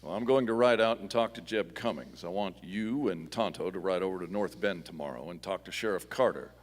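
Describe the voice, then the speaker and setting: An actor playing a firm, upright masked cowboy hero in a live Western radio play, speaking formally. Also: male voice, low voice, brisk, in a large hall. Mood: purposeful.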